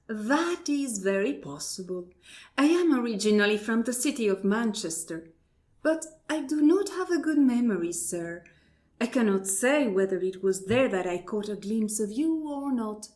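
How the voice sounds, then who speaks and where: A woman's voice: on the high side, expressive, a little mellow, natural voice. A woman playing a prim, courteous, politely baffled lady with formal delivery in an absurdist radio play. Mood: polite doubt. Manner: formal, deliberate, mock-astonished. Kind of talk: absurdist stage dialogue read as radio play.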